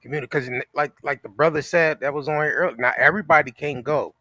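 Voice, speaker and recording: A man's voice: a little high, fairly even intonation, fast talker, pushed and bright, no room sound, clean studio sound. An American man co-hosting a casual talk show, speaking in an emphatic, repetitive, slangy and profane way. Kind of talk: casual opinionated chat.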